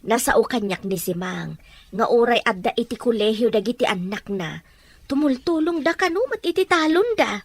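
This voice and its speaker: A woman's voice: fairly high pitch, animated, moderate pace, no room sound. A woman with an Ilocano accent playing a scolding, hard-pressed farm mother in a radio drama. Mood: grumbling.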